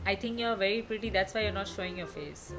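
Young woman vlogger, Indian accent, very quick casual chat over a music bed. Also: medium pitch, steady tone, poor audio. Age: 20s. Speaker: vlogger hosting random video chats. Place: small furnished room at home, indoors.

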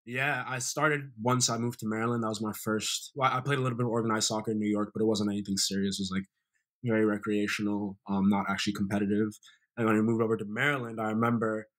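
Young man in a relaxed video-call chat. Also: on the low side, quick pace. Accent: American. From Sierra Leone. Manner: relaxed storytelling, many fillers. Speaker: guest, former college soccer player. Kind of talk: casual interview chat. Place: bright room indoors, video call.

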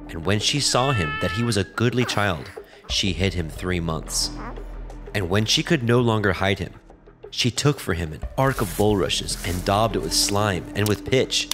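A man narrating scripture from a script in a solemn, measured way. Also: fairly low pitch. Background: music.

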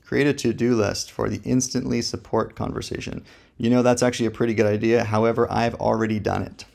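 A man with a gravelly voice, casually reviewing software while thinking aloud in a slangy, joking, laid-back way. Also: on the low side, flat delivery, brisk.

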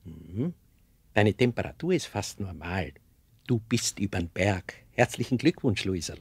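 Husky, gruffly teasing Austrian doctor. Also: male voice, fairly low pitch, very animated, a little slow, dry recording, full character voice. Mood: teasing, kindly. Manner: dryly ironic, blustering. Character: gruff but kindly teasing old-fashioned doctor.

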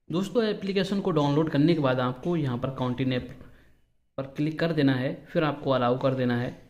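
Man, medium pitch, tutorial host, step-by-step phone instructions, repetitive explaining. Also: darkish tone, lo-fi sound. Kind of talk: instructional phone app walkthrough.

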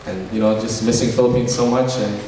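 A man's voice: medium pitch, level tone, fairly quick, mellow. Young man from American Samoa co-hosting. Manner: upbeat, hesitant with fillers. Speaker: co-emcee of a student cultural show. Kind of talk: casual stage hosting. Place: large event hall on a stage. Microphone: handheld mic heard through hall speakers. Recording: echoey hall from far off with noise.

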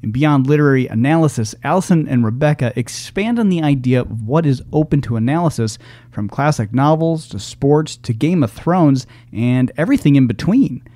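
Male podcast host for scripted intros; soft-edged, mellow delivery, very dry recording. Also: mid-range voice, lively intonation, normal speed, no echo.

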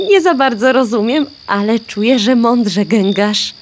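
Flustered clucky hen, children's radio play, highish pitch, animated, faint ambience noise. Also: female voice, slow. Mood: smitten. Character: naive, smitten, flustered hen. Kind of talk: children's audio drama acting.

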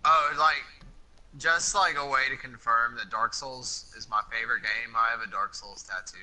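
A man in his mid-twenties chatting casually as a podcast co-host, quick to laugh. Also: medium pitch, bright, forceful tone, rough recording. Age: 20s. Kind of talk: casual chat.

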